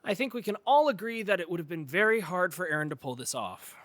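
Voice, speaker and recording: An actor as a concerned, curious friend, lively pitch. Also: fast, no echo, natural voice. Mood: reasonable, unsettled. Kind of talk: audio drama acting.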